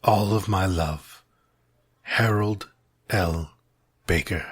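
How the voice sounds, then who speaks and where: A man acting an earnest, lovelorn gentleman of old New York, reading his formal letter in a solemn, wistful, measured way with a husky voice. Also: low-pitched, no room sound, studio quality, heavily acted. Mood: tender, solemn.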